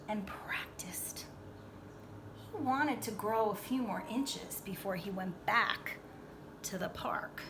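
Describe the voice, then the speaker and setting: Unhurried, high-voiced woman reading to children. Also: background noise. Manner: teacherly, questioning, emphatic. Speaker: storybook read-aloud reader. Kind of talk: expressive children's read-aloud with chatty asides to young listeners.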